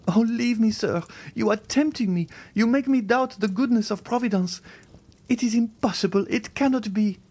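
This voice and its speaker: A man's voice: high voice, moderate pace, a little mellow, voice pushed up. A man voicing a frightened, sickly young noblewoman who pleads in breathless exclamations.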